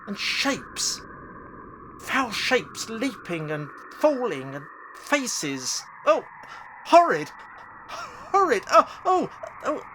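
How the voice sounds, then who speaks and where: Man acting an excitable, slow-speaking older father. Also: high-pitched, background noise, exaggerated voice. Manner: theatrical, halting, exclamatory. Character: anxious, excitable older father of a grown daughter. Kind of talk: amateur radio play horror acting.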